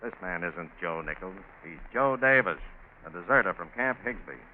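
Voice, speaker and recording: Stern, shrewd male police officer, American, lowish voice, dry and accusing; 1940s radio sound. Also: expressive, normal speed. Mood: sardonic, accusing. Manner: clipped, stern, sardonic. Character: tough, shrewd state police lieutenant, accusing. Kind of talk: 1940s radio crime drama acting. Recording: noisy narrow-band 1940s radio.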